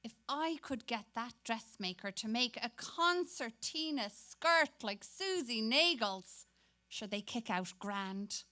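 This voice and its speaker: A woman's voice: a little high, animated, takes it slowly, pushed and bright, heavily acted. A woman playing a bored, gossipy young typist who turns dutiful on the telephone, in a dramatic stage reading. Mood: catty, wistful.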